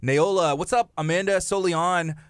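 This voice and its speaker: A man's voice: high-pitched, normal speed, smooth, no echo. A man in his thirties co-hosting a live morning radio show, upbeat and chatty, laughing often and restarting phrases, in a studio on a studio microphone. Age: 30s. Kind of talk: casual live radio chat.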